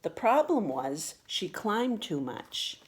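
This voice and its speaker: A woman reading a children's picture book aloud with expressive, deliberate storytelling delivery. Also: mid-range voice, breathy edge. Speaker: storybook reader.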